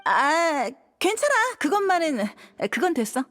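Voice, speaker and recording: An actress playing a lively, confident young female rescuer in a game, with a playful delivery. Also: high voice, unhurried, heavily acted. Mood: flustered. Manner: playful, animated. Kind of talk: animated game voice acting.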